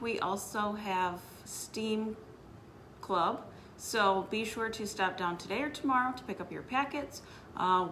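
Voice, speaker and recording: A woman's voice: medium pitch, a bit bright, slight background noise. A woman speaking as a children's librarian, hosting story time with announcements and read-aloud for young listeners.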